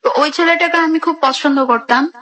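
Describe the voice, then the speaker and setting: A young woman with a high voice and a Bangladeshi accent. She speaks as a guest recounting her own life in a radio interview, going through it in detail and acting out what people said to each other. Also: moderate pace, a bit bright, soft background music. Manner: narrates in detail, re-enacting conversations. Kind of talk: personal storytelling in a radio interview.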